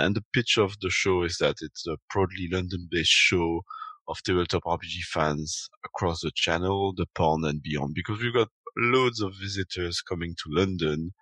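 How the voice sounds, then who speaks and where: Man, very low but bright voice, halting casual chat. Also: dry recording. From Belgium. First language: French. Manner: hesitant, many fillers. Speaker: podcast guest. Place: at home in London at night.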